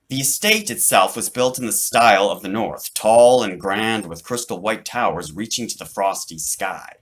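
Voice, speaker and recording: Male author-narrator for a storybook: slightly low voice, very animated swings in pitch, dramatic emphasis. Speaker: author reading his own book.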